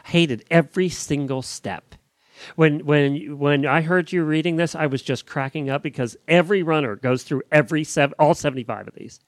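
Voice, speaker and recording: A man's voice: fairly high pitch, moderate pace, dry recording. A man hosting a podcast, chatting informally and reading out show announcements in a conversational way. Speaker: podcast host. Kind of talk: casual chat and show announcements.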